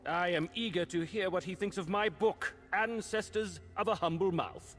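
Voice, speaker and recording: A man's voice: sits high, moderate pace, a bit bright. A man voicing a pompous, self-important wizard's spokesman in a video game, speaking officiously. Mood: haughty. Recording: long hall reverb, faint music.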